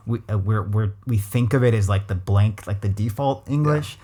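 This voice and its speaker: Give me a laid-back West Coast American man hosting a chat podcast, low voice, musing and hedging. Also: moderate pace, mellow. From Los Angeles, USA. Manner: thoughtful, full of restarts and hedges. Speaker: podcast host. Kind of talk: casual conversational interview.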